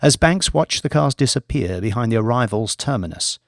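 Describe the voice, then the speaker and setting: A man's voice: medium pitch, expressive, moderate pace, no room sound. Dry-recorded male thriller audiobook narrator.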